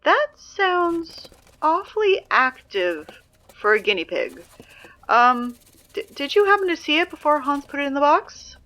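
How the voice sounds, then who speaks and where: A woman's voice: high voice, big pitch swings, measured pace, pushed and bright, full character voice, low-quality recording. A woman playing an exasperated teenage girl who reads the school morning announcements, sarcastic and easily flustered. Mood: wary. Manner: sarcastic, flustered, prone to outbursts. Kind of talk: comedic audio drama acting.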